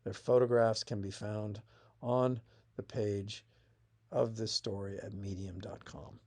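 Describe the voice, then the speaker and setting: A man narrating a scripted piece of family history as its author, in a measured reading with emphatic phrase openings that fall away. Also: on the low side, flat delivery, a little mellow. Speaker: author reading own essay.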